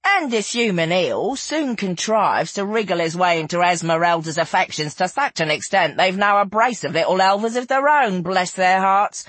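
A woman's voice: fairly low pitch, lively intonation, moderate pace, hardly any pauses, a bit bright, dry recording. A woman voicing a flamboyant, worldly showgirl, gossipy and mock-genteel in an audiobook story. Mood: relishing.

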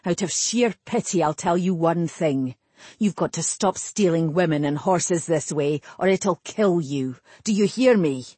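A woman's voice: fairly low pitch, unhurried, warm tone, husky, no room sound. A woman voicing an angry, scornful elven sorcerer in an audiobook, with a snarling, commanding manner. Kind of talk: audiobook character acting.

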